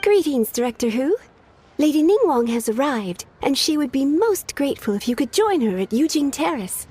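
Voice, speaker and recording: Casting: deferential young messenger, high-pitched with lively melody, formal game delivery, faint ambience. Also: female voice, moderate pace, slight background noise. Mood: respectful. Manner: formal, respectful. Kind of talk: animated game cutscene acting.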